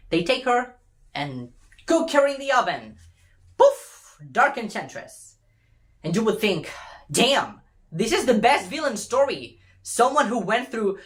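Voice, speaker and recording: A woman's voice: a little high, fairly bright tone. A woman in a casual, rambling rant, retelling a story with sarcastic, sweary exclamations.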